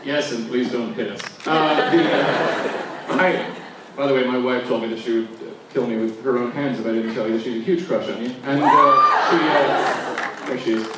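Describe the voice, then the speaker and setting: A man with a mid-pitched voice moderates a live interview in a casual way. His questions are wordy and broken by his own restarts. He sounds distant and heavily reverberant, with faint noise in the recording. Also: wildly swooping pitch, moderate pace, crowd noise. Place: large indoor venue at a film festival. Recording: echoey hall, distant mic, faint noise.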